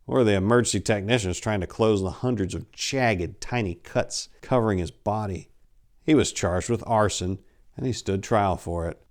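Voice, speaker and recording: An American man in his forties telling a story in a theatrical, expressive, dramatic storyteller's manner. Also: lowish voice. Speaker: author reading his own short story. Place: indoor room lined with bookshelves. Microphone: lapel mic.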